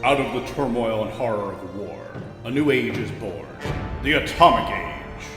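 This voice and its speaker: An echoey recording of a male narrator with a medium-pitched voice. He delivers scripted serial narration in a theatrical, portentous way. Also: lively intonation, normal speed, over music. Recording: echoey reverb.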